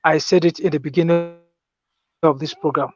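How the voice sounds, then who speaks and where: A man's voice: on the high side, steady tone, normal speed, soft background music. A man with a Nigerian accent speaking as a guest in a political discussion, insistently explaining his experience. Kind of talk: political discussion, personal account. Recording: dull, dry lo-fi call audio.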